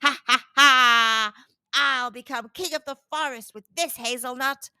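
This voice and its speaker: A woman's voice: high-pitched, unhurried, bright, forceful tone, smooth, no room sound, clean studio sound, full character voice. A woman voicing a cunning male fox, the villain of a children's story, in a bold, dramatic manner. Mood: gloating.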